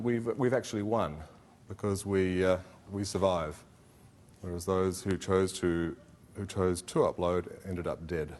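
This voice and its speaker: A middle-aged man giving a philosophy conference talk, conversational and hedging, with many fillers and restarts. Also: deep voice. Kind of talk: academic conference presentation.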